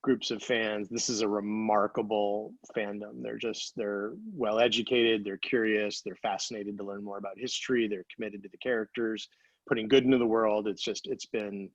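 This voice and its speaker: A man's voice: on the low side, level tone, normal speed, no echo. A man speaking casually as an interview guest and writer, with slightly dull audio. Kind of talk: casual conversational interview answers.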